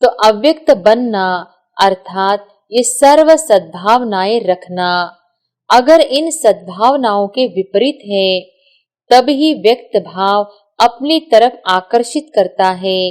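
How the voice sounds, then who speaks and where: A woman reading a scripted devotional discourse in measured, deliberate phrases with short pauses. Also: medium pitch, animated, darkish tone. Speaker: reader of a spiritual discourse.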